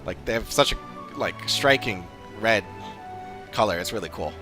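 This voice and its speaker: A man's voice: fairly low pitch, lively intonation, normal speed. Casual male streamer musing over game music. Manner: musing, hesitant, trailing off. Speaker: streamer playing a game and voicing its dialogue. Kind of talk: casual live-stream chat.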